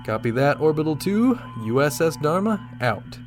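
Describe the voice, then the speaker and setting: A man's voice: on the high side, big pitch swings, normal speed, loud music behind the voice. A man playing a confident, dashing starship captain, commanding and wry, in a sci-fi radio drama. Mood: brisk, official.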